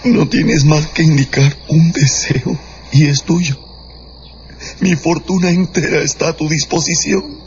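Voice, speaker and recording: A man's voice: fairly high pitch, fairly even intonation, a little mellow, a little hiss or hum. An actor playing a humiliated, lovesick nobleman, brooding and desperate, in a radio drama. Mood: desperate pleading. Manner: slow, anguished, imploring delivery. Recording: dull radio production with music underneath.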